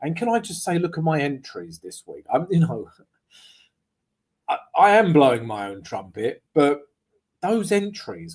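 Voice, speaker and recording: A man with a slightly croaky voice, hosting a live trading stream in a lively, joking, chatty way. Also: mid-range voice. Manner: lively, joking, chatty with viewers, frequent "right?" and "guys". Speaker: forex trading livestream host. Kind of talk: casual live trading chat. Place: home setup abroad, streaming live.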